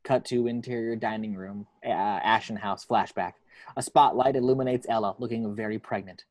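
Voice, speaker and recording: A man's voice: fairly low pitch, fairly even intonation, normal speed, darkish tone, no echo. Man narrating a screenplay, dry close sound. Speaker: reader of action descriptions. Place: at home.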